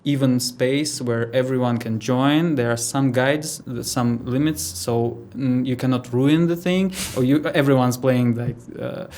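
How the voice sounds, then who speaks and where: A man's voice: medium pitch, unhurried, hardly any pauses, darkish tone. A man from Moscow with a Russian accent speaking as a podcast guest, hesitant and earnest, with many fillers. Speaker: guest, creator of a music system. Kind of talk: casual conversation.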